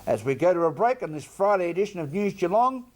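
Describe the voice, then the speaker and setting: High-pitched Australian male newsreader. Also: very animated, quick pace. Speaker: news presenter. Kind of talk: scripted news reading.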